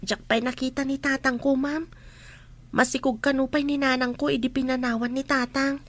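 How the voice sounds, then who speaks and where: Woman as teenage schoolgirl, high voice, earnest, polite, hesitant, dutiful, radio drama. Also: little pitch movement, moderate pace, fairly bright tone.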